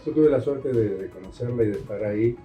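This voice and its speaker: A man's voice: medium pitch, fast talker, roomy sound, muffled recording, faint music behind. A man with an Argentine accent hosting an interview, asking questions in a friendly, informal way. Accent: Rioplatense Argentine Spanish. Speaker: host interviewer.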